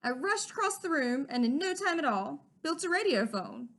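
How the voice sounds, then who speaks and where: High-voiced woman reading a storybook. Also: normal speed. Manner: expressive rhyming storytelling. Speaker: teacher. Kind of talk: reading a picture book aloud.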